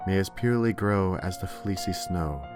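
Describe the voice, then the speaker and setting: A man with a deep voice reads poetry aloud. His delivery is expressive and measured, and music plays clearly beneath him. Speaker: poetry reader. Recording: music under the voice.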